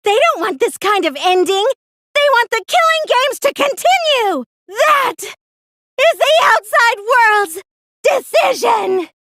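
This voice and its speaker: A woman's voice: extremely high pitch, sing-song, slow pace, heavy background noise, exaggerated voice. A woman playing a girlish, smug mastermind in an English anime-style game dub, cheerfully menacing, theatrical and taunting as she insists on her point. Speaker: video game voice actor.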